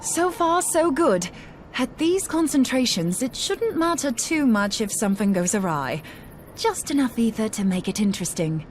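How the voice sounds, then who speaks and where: A woman's voice: fairly high pitch, expressive, normal speed, close to an everyday voice. An actress playing a spirited young woman scholar in a video game, with an informal, wry, plain-spoken manner. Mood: eager, determined. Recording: clear background noise.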